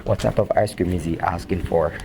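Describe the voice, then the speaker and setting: Low gravelly man chatting over noise. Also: a little fast. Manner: repeats phrases for emphasis. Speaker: vlogger testing walkie-talkies outdoors. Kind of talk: casual chat over walkie-talkie.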